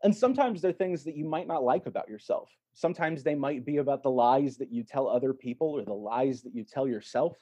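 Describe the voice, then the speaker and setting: A man speaking as an interview guest in a measured, reflective, explanatory way. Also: medium pitch, mellow. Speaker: podcast guest, actor.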